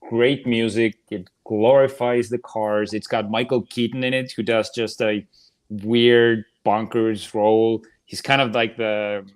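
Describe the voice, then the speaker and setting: A man's voice: lowish voice, level tone, measured pace. A man chatting casually about movies as a podcast panelist.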